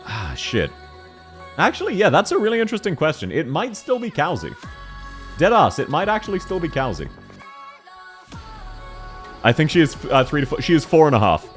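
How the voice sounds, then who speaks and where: A young man with a deep voice chats through a game stream, answering viewers in an excitable, slangy way and laughing often. His pitch swings widely from line to line. Faint music plays underneath. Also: normal speed, pauses often. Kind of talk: casual live-stream chat, answering viewer questions.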